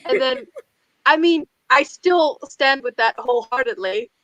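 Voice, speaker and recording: A Dutch-accented woman speaks in a fairly high voice with very little room sound. She is chatty and effusive, talking casually as one of a group of co-hosts. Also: lively intonation, moderate pace, a bit bright, no echo, poor audio. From Netherlands. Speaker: book channel co-host.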